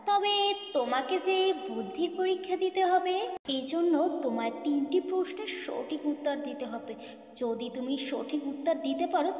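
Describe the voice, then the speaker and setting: A woman's voice: high-pitched, reverberant, big-room sound, muffled recording. A woman playing a kindly female fairy in a cartoon, speaking in a formal, encouraging way. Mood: stately, testing. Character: kindly fairy queen posing riddles, female. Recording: music playing underneath.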